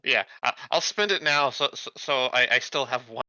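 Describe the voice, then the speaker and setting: A man's voice: mid-range voice, lively intonation, moderate pace, pushed and bright, no echo, muffled recording. A man chatting casually as a player in a tabletop roleplaying game. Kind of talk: casual chat.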